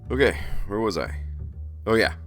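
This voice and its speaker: Animated, playful man hosting a vlog. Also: fairly low pitch, moderate pace, over music. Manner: theatrical, playful, self-interrupting. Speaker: host of an art channel. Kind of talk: scripted vlog narration with casual asides.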